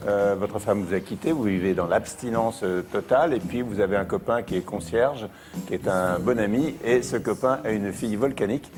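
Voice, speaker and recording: A man hosting a live television talk show, introducing guests and the film briskly. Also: on the low side. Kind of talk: live TV presenting. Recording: live studio with audience noise.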